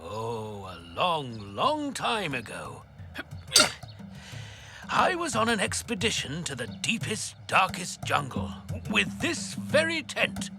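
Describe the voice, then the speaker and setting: A man's voice: on the high side, huge pitch swings, slow pace, creaky, full character voice. Nostalgic old grandfather for a kids' cartoon, leisurely, jolly storyteller, over prominent music. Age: older. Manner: chuckling, storytelling, easygoing. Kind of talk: children's cartoon dialogue.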